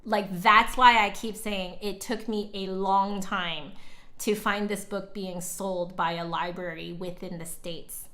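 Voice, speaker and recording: A woman's voice: medium pitch, fairly even intonation, slow pace, bright, forceful tone. A woman with a mid-range voice chats casually as a livestream host. She talks to her viewers in a rambling, giggly way, drifting into asides as she goes.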